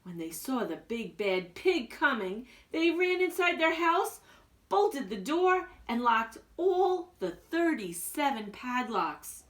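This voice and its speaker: A woman's voice: sits high, sing-song, takes it slowly. A woman reading a children's storybook aloud with dramatic emphasis, like a school principal addressing young listeners.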